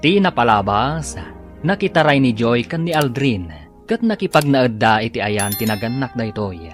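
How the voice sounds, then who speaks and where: A man's voice: mid-range voice, animated, moderate pace. A man with an Ilocano accent giving dramatic scripted narration as the announcer of a radio drama. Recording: radio drama with music bed.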